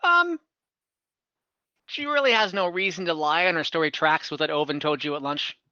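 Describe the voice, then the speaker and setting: A man narrating a tabletop game as game master, conversational and halting as he improvises scenes. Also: on the high side, expressive, sharp tone, clear, resonant tone, no echo, highs cut off. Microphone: close mic, online call.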